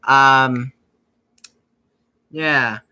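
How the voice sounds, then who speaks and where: Very slow-talking, bright-voiced man streaming a game. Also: medium pitch, fairly even intonation, smooth, rough recording. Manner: rambling, stumbling over words and repeating phrases. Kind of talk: casual live-stream commentary while reading a visual novel aloud.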